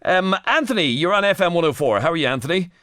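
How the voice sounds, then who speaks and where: A man's voice: on the high side, lively intonation, fast talker, fairly bright tone, no room sound. Brief: Irish male phone-in host, studio mic, dry sound, challenges callers. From Ireland. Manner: challenging, probing questions. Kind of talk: phone-in radio talk. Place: radio studio. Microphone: studio microphone.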